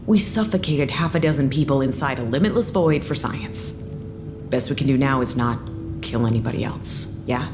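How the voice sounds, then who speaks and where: A woman's voice: rumbling low, moderate pace, music in the background. A woman acting a blunt, unsentimental research staffer in an audio drama, matter-of-fact and casually profane in a naturalistic style. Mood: resigned, consoling.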